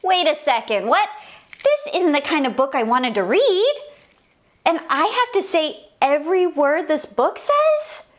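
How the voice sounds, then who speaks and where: A woman's voice: high-pitched, sing-song, unhurried. A woman hosting children's story time, reading a picture book aloud with playful, exaggerated, theatrical reactions. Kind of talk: animated picture book read-aloud for kids.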